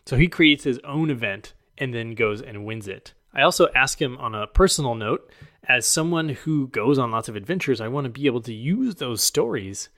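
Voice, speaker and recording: A man speaks as a podcast host, introducing and questioning a guest. His voice sits at a middling pitch, and the sound is dry with very little room around it. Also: lively intonation, normal speed, fairly bright tone, dry recording.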